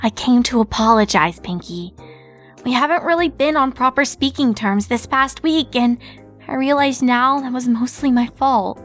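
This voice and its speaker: A woman voicing a bookish, earnest unicorn mare in a fan story reading, measured and slightly prim. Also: high-pitched, soft background music, pitched above the performer's own voice, lightly acted.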